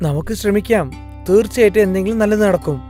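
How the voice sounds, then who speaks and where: A man's voice: sits high, fast, dark tone, natural voice. A man playing a loyal, good-natured younger brother, a humble farmer who speaks earnestly in a cartoon fairy tale. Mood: firm, hopeful. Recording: faint music under the voice.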